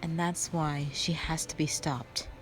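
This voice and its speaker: A woman playing a wistful, scheming yet playful witch sister in an audio roleplay, speaking softly and reflectively to the listener. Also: sits low, fairly even intonation, unhurried, soft background music. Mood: grave, worried.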